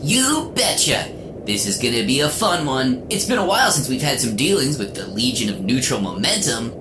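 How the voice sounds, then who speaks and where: A man playing a small, earnest robot boy hero in a comedic radio serial, polite and gee-whiz, halting when hurt. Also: medium pitch, sing-song, over music, close to an everyday voice. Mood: chipper, eager.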